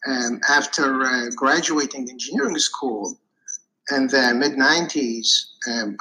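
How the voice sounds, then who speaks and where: Bright-voiced Iraqi-born man, halting delivery. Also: mid-range voice, slight background noise, low-quality recording. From Iraq. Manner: hesitant, many fillers and restarts. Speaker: podcast guest. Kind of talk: casual interview answers.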